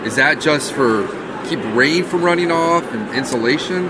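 A man's voice: a little high, expressive, moderate pace. Chatty male interviewer in street noise. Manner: chatty, joking, rambling questions. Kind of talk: casual interview at an outdoor event. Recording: noisy outdoor street recording.

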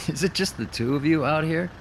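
A man's voice: on the high side, a little hiss or hum. An actor playing a shifty male drifter in an audio drama, folksy and falsely affable with a taunting menace, music and an engine behind him. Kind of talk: audio fiction acting.

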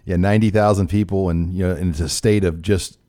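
A man's voice: sits low, fairly even intonation, fairly quick, dark tone, dry recording. A middle-aged man hosting a sports talk show, asking questions in a chatty, enthusiastic way. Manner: enthusiastic, chatty, some stumbles. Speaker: radio show host.

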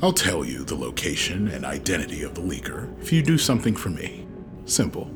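An actor playing a cocky, menacing outlaw kidnapper chief in a sci-fi audio drama, sardonic and taunting. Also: male voice, low-pitched, huge pitch swings, fairly quick, over music. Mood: cool, controlled.

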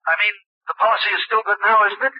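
An actor playing a nervous, desperate insurance customer making a phone call in a 1940s radio drama. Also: male voice, high-pitched, steady tone, quick pace, dry recording. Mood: anxious, desperate. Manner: nervous, hesitant. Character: anxious, desperate male insurance policyholder on telephone. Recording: dull, dry old radio recording.

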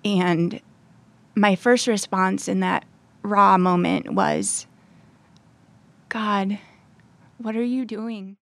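A woman giving personal testimony as an interview guest, halting and pausing between short phrases. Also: mid-range voice, a bit bright, no echo.

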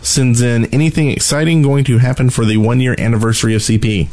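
A man's voice: mid-range voice, steady tone, fast talker, darkish tone. A man co-hosting a podcast, talking in a casual, conversational style. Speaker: podcast co-host. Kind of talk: casual group chat.

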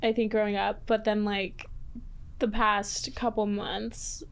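A woman's voice: mid-range voice, little pitch movement, takes it slowly, vocal fry. A woman from Mexico hosting a podcast, chatting casually, laughing often and leaning on fillers. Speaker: podcast host. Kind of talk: casual chat.

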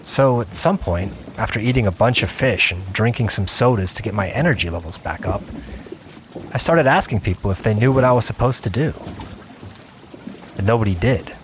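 A man's voice: lowish voice, animated, normal speed, a little hiss or hum, lightly acted. A young man playing a seasoned gamer, narrating his adventure in the first person in a conversational audio drama style. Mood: reflective. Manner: conversational, reflective. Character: wary, seasoned male gamer recounting his virtual adventure. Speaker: narrator and lead character.

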